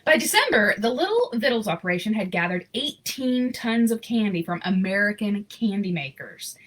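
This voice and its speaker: A woman reading a children's picture book aloud in an expressive, unhurried, sentimental way, with casual asides. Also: medium pitch, low-quality recording. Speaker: storybook reader.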